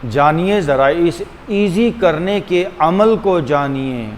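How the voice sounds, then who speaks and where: A man with a slightly high voice leads a guided meditation at a very slow pace. He gives instructions deliberately, repeating phrases and leaving pauses between them. The recording carries a long, hall-like reverb. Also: animated. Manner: deliberate, repetitive, with frequent pauses; switches between Hindi-Urdu and English. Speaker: yoga and meditation teacher. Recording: long hall reverb, faint background hum.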